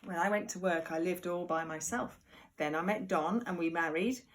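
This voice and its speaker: A woman reading a picture book aloud to young children like a primary teacher, expressive and deliberate, with chatty asides. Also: on the low side, a little hiss or hum. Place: at home.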